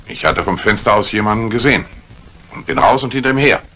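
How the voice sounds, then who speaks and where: An actor playing a stern, suspicious police inspector in a 1950s German radio crime drama, probing and sardonic. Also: male voice, lowish voice, quick pace, a bit bright. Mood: grave, regretful. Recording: old radio play recording with faint hiss.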